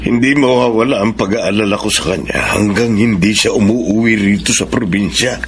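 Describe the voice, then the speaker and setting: A man's voice: fairly low pitch, animated, normal speed, noisy background. An actor playing a stubborn, resentful middle-aged father in a Filipino radio drama.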